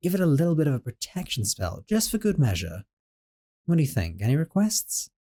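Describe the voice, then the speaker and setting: A doting young sorcerer roommate, as a man plays him, soft-spoken and a bit flustered, his mellow voice rising and falling in lively swings. He talks gently and fondly in an audio roleplay. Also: fairly high pitch, a little fast.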